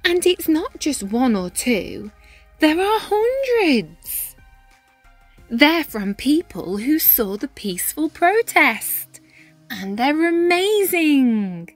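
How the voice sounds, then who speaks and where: Very animated high-voiced woman reading a storybook. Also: soft background music. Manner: animated, dramatic pauses and emphasis. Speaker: read-aloud storyteller, teacher-style reader. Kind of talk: expressive children's picture book read-aloud.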